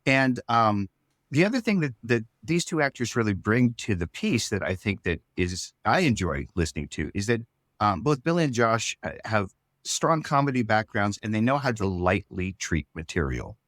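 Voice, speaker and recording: A man talking casually in an interview as a show's writer, rambling and halting as he thinks aloud. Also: fairly low pitch, sing-song, a bit bright, no echo, studio quality. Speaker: show writer and creator.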